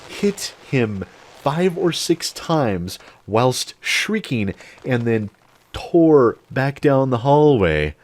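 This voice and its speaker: A man in his late thirties, an animated storyteller who swears freely, telling a personal story straight to the mic. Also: medium pitch, drawn-out words, dry recording. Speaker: channel host. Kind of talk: storytime monologue.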